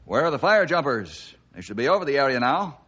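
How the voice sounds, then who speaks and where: A man's voice: medium pitch, very animated, fairly quick, fairly bright tone. A man playing a commanding, decisive American chief forest ranger in a radio adventure drama, giving authoritative orders.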